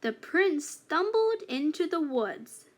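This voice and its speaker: Slow, high-pitched woman reading a fairytale. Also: sing-song, soft background music. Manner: slow, deliberate phrasing for young learners. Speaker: children's storybook narrator. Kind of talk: scripted read-aloud fairytale narration.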